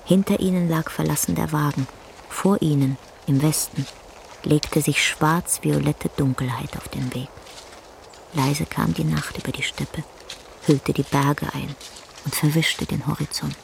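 An actress narrating a literary radio play in a measured, solemn way. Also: female voice, low voice, fairly even intonation, creaky, slight background noise. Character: solemn storyteller of an old man and horse.

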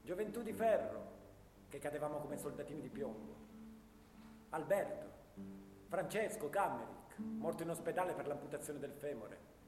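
Male actor doing a grave young war veteran, theatrical radio play, declamatory storytelling, echoey hall, clear guitar backing. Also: fairly high pitch, expressive, normal speed, lightly acted. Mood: anguished, grave. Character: haunted young male First World War soldier recalling dead classmates. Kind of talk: theatrical radio drama monologue. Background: guitar music.

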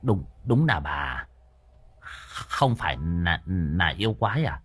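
A man's voice: fairly high pitch, big pitch swings, unhurried, sharp tone, husky, voice pushed up, full character voice. A man voicing a fearful, bumbling old village man who stammers. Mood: hesitant.

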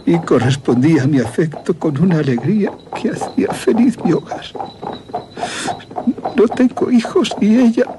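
An actor playing a grieving, embittered general in a radio drama, grave and theatrical, with emphatic pauses. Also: male voice, a little high, noisy background, lightly acted. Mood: grief-stricken. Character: grieving, embittered general bent on destroying monsters.

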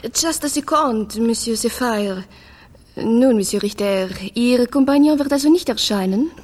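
Formal French manageress, reverberant hall. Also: female voice, a little high, unhurried, a little mellow. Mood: cool displeasure. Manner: formal, stern, searching for German words. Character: formal French female manager of a jazz star.

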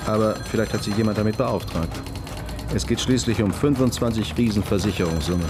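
An actor playing a persistent, matter-of-fact police detective in a radio crime drama. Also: male voice, lowish voice, fairly quick, noisy background, close to an everyday voice. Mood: musing, businesslike.

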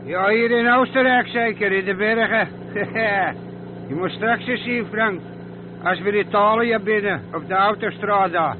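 An actor playing a carefree, breezy male driver in a radio drama, easygoing and dismissive of worries. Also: a little slow. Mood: breezy, unworried. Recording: tinny noisy radio recording, motor rumble.

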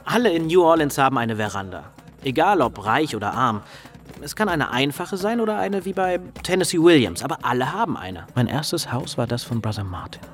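An actor playing a moved, reflective volunteer house builder in a radio drama monologue. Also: male voice, mid-range voice, sing-song, brisk, close to an everyday voice. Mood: warm recollection. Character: moved volunteer house builder who stayed in New Orleans.

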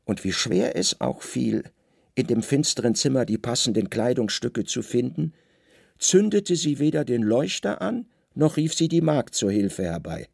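Male narrator for literary radio drama: deliberate, dramatic phrasing, mid-pitched, gravelly texture, dry studio sound. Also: very animated, a little mellow, no echo.

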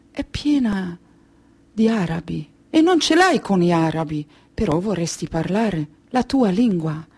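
An actress plays a Kurdish refugee woman telling her story on stage, grave and wistful. She speaks slowly, in halting phrases full of pauses, with a mid-pitched voice. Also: darkish tone, husky, heavily acted. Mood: reflective, sober. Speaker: stage performer of a monologue. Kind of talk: slow theatrical monologue storytelling.

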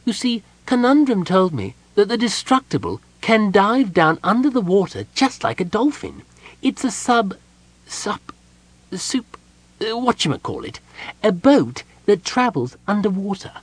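A man voicing a small, excitable, childlike male kender who chatters and stumbles over his words, in a husky voice. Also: high voice, unhurried, dry recording, voice pushed up, full character voice. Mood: excited.

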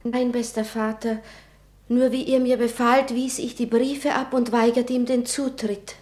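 A woman's voice: fairly high pitch, little pitch movement, unhurried, a little mellow, close to an everyday voice. An actress playing a frightened, obedient young noblewoman in a classical radio play, theatrical and earnest. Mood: meek. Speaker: radio drama actor.

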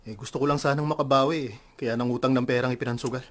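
An actor playing a troubled, defensive young Filipino son in a radio drama, sullen and self-justifying. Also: male voice, mid-range voice, steady tone, rapid-fire, natural voice. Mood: dejected. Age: young adult.

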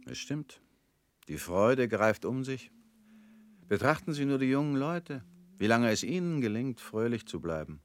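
An actor in a radio drama playing a weary middle-aged family man, guarded and resigned, talking in flat, curt lines that often sink quiet. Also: medium pitch, unhurried. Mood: bitter.

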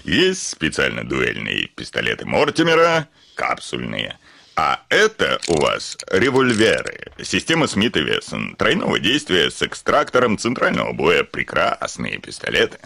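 An actor playing a hot-tempered, bombastic landowner who is smitten despite himself, in a classic comedy radio play. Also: male voice, rumbling low, huge pitch swings, moderate pace, gravelly, sharp tone, a little hiss or hum, exaggerated voice. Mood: flustered, instructing. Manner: bombastic, volatile, exclamatory, sputtering.

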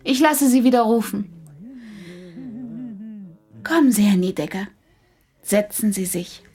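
An actress playing a confident, clever female psychiatrist in a radio drama, brisk and gently coaxing, with a breathy voice. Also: medium pitch, animated.

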